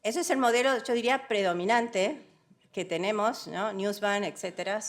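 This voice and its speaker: A woman from Argentina giving a semi-improvised conference talk, frank and emphatic, often correcting herself and mixing English words into her Spanish. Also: mid-range voice. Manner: halting, self-correcting, checks in with rhetorical tag questions. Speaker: conference speaker, development economist.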